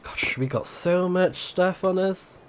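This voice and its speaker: A man's voice: high-pitched, slow, a little hiss or hum. A man giving casual, chatty and reactive let's play commentary as a game streamer.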